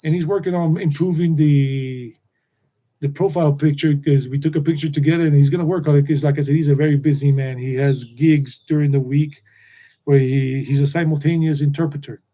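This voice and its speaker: Casual live-stream host, man, middle-aged, mellow tone, wanders off topic and repeats details. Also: a little high, fairly even intonation, fairly quick, rough recording. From United States. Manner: meandering, repeats himself. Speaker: tour guide and channel host. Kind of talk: rambling live stream chat.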